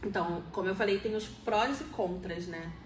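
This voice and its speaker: A woman's voice: medium pitch, moderate pace. A forty-year-old Brazilian woman with a Rio de Janeiro accent, explaining things conversationally as an interviewee and often checking in with little tag questions. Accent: Rio de Janeiro Brazilian Portuguese. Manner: explanatory, frequently seeks agreement with tag questions. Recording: echoey room, faint background noise.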